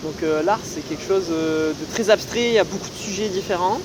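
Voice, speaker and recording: A young woman hosting a casual podcast interview outdoors, relaxed and hesitant, with many "euh" fillers. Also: lowish voice, brisk, noisy background. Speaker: podcast host. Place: outdoors in a city on a hot day.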